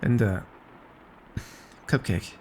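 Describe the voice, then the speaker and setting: A man's voice: slow pace, slight background noise, close to an everyday voice. A man playing a bossy but caring vampire in an ASMR roleplay, teasing and wry, speaking naturally with small hesitations. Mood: wry, casual. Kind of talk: ASMR roleplay, one-sided dramatic dialogue.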